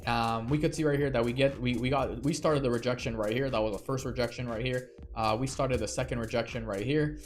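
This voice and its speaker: A man's voice: medium pitch, steady tone, fairly quick, few pauses, soft background music. A man hosting a casual crypto trading livestream, rambling with lots of fillers and restarts. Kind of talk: casual live stream commentary.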